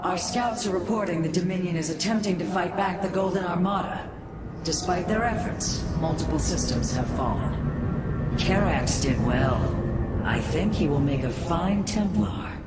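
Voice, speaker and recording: A woman's voice: on the low side, animated, normal speed, lightly acted. Game cutscene officer, voiced by a woman: dutiful alien commander, composed, distant, heavy noise behind. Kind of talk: dramatic sci-fi game cutscene acting. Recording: echoey, distant, very noisy ambience.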